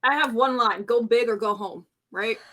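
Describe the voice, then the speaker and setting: A woman with a bright, crisp-edged and slightly high voice plays a tabletop roleplay. She improvises in character with casual, conversational delivery. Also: normal speed.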